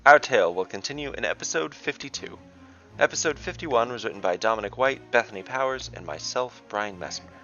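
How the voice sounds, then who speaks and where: A man narrating a scripted fantasy story in measured, deliberate phrases. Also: medium pitch, expressive, pushed and bright, muffled recording, faint music behind. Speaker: narrator and game master.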